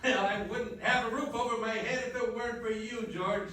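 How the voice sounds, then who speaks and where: A small-town man in a stage radio play, acted by a man, with a very high voice for a male. He is heard at a distance in an echoing hall, with faint noise. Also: steady tone, moderate pace, sharp tone. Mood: hearty.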